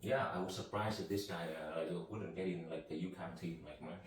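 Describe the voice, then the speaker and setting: A man's voice: deep voice, fairly quick. A man hosting a casual podcast, a native Vietnamese speaker, talking in a loose, rambling way. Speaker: podcast host. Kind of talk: casual conversation. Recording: echoey room, mic from a distance.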